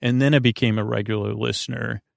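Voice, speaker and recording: A man with a creaky voice hosting a bedtime podcast, speaking in a lulling, gentle, meandering way, hesitating and drifting into tangents. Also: medium pitch, fairly even intonation, fast, no room sound, studio quality. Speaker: host of a bedtime story podcast. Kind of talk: rambling, soothing bedtime monologue.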